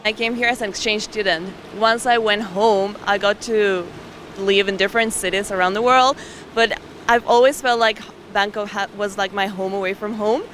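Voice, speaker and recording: A woman's voice: medium pitch, steady tone, normal speed, a bit bright. A young woman from Mexico answering interview questions casually. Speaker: street interviewee. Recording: outdoor street with traffic noise.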